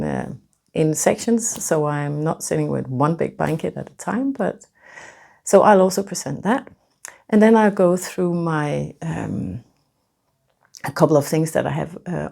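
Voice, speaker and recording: Nervous Danish woman with gravelly voice. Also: fairly low pitch, warm tone. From Denmark. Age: 50s. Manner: hesitant, many fillers and restarts. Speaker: knitting podcaster. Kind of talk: casual vlog presentation.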